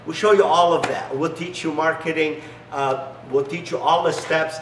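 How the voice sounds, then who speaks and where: A man's voice: steady tone, normal speed, a little hiss or hum. A middle-aged man, not a native English speaker, giving an enthusiastic promotional demonstration as a business founder in a large garage. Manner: enthusiastic salesy pitch with broken phrasing. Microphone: camera mic from a few steps away. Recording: echoey garage, distant mic.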